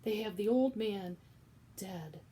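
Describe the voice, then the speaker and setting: A woman voicing a sharp, scornful woman servant from a storybook, harsh and dismissive with a raised voice. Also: mid-range voice, lively intonation, slow pace, husky.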